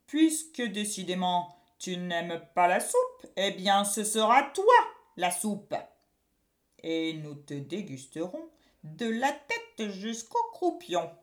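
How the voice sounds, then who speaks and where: A woman's voice: medium pitch, sing-song. Theatrical, swooping angry witch. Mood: menacing. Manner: theatrical, drawn-out scolding and taunting. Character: angry boastful witch hurling silly gross insults.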